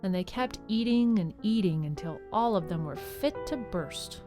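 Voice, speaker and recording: Narrator, female: scripted storybook delivery, medium pitch, clear music bed behind. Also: animated, moderate pace.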